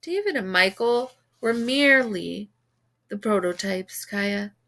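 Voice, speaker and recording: Nerdy-grand schoolboy, played by a woman, storybook sidekick, declamatory and earnest with lively pitch. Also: slow pace. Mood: grandly earnest. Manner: pompous, declamatory. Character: goofy, earnest middle-school boy making grand pronouncements.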